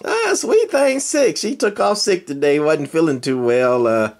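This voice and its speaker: A man's voice: a little high, very animated, measured pace. A man chatting jokily over CB radio, stammering and repeating words as he goes. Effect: CB radio transmission. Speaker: CB radio operator. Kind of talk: casual joking radio chat.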